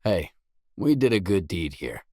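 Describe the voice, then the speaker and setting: An actor playing a weary, laconic stalker in a video game, a hardened loner with a terse, guarded, deadpan delivery. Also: male voice, deep voice, measured pace, dry recording, clean studio sound, full character voice. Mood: curt, dry. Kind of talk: isolated game dialogue lines.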